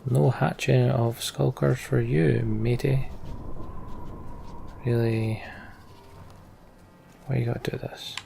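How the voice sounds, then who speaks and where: Gravelly man muttering through a video game. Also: medium pitch, steady tone, slow pace, long pauses, dark tone, slight background noise. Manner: informal, sweary, sardonic asides. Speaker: let's play host. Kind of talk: casual gameplay commentary, muttering to self.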